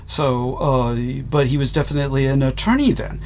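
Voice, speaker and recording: A man's voice: medium pitch, expressive, normal speed, darkish tone. A man hosting a podcast conversation, formal and effusive, often restarting his sentences. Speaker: host.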